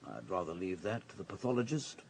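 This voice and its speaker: A doctor in a radio drama, with a husky voice and very mobile intonation. He is careful and precise, a cautious professional who commits to little. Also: sits low, big pitch swings, a little fast, darkish tone, full character voice. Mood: measured, guarded. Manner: precise, guarded.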